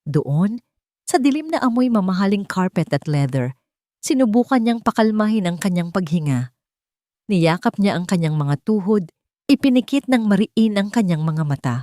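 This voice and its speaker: A woman narrates a scripted romance story in short, suspenseful, deliberate phrases. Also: medium pitch, big pitch swings, dark tone, no echo, clean studio sound. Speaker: narrator of romance audio stories.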